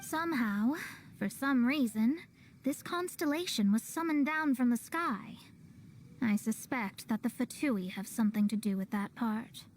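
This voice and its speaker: Proud, high-voiced young astrologer. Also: female voice, animated, a little slow, slight background noise. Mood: explaining. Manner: confident, articulate, expository. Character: proud, brainy young female astrologer, self-assured and theatrical. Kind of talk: video game voice acting.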